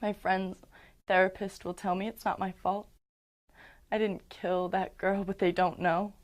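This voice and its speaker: A young woman in her late teens performing a dramatic monologue as a guilt-ridden, haunted teenage survivor, halting and intense. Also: mid-range voice, little pitch movement, lightly acted. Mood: anguished, bitter.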